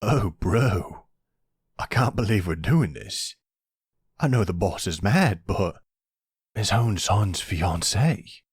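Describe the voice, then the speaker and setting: Male actor as friendly dim mob lackey, stammering, slangy, slightly husky, dry close-up sound. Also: lowish voice, expressive, slow, no room sound, heavily acted. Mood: startled, awkward. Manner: hesitant, stammering, slangy bro talk. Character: nervous, chatty, friendly dumb mafia henchman. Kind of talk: audio roleplay drama.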